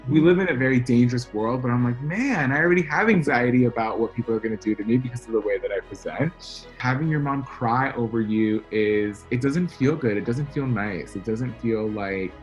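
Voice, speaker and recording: A man speaks as an interview guest in an easy mid-range voice. He tells his stories in a chatty, animated way. Faint music plays underneath. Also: mid-range voice, a little fast, poor audio. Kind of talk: casual interview talk.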